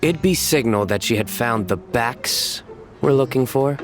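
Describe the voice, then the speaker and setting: An actor voicing a stern young lawman who delivers puns in a deadpan way, in a game cutscene. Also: mid-range voice, unhurried, soft background music, lightly acted.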